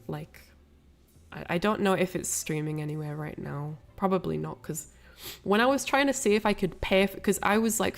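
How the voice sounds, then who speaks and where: Lowish voiced streamer girl, lots of vocal fry, stuffy with a cold, rambly and apologetic chatting to viewers. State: ill with a cold, sniffly, coughing. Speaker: livestream host. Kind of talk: casual livestream chat with viewers.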